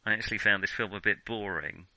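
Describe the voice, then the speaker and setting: Bright, forceful man chatting about films. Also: lowish voice, quick pace, no echo, rough recording. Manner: hesitant, hedging, self-correcting. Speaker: film podcast co-host. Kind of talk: casual film discussion.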